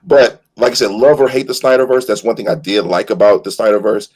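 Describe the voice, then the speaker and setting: A man in an animated, casual fan discussion, repeating phrases for emphasis and stumbling over his words as he goes. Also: fairly low pitch, fairly quick, slightly rough.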